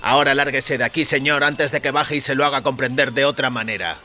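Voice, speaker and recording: A man's voice: medium pitch, fast, pushed and bright, soft background music, pitched above the performer's own voice, close to an everyday voice. A man voicing a cruel, petty circus ticket seller with a curt, insolent, menacing manner in an audio story. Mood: threatening. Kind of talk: dramatised short-story dialogue.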